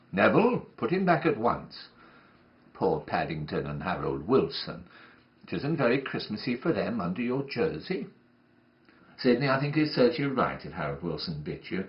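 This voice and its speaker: A man takes on the part of a genteel woman running a nursery class in a comic monologue. The delivery swings wildly up and down in pitch, brightly patient one moment and gently scolding the next, always coaxing as if to small children. The recording is a little muffled and lo-fi. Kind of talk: performed comic monologue reading.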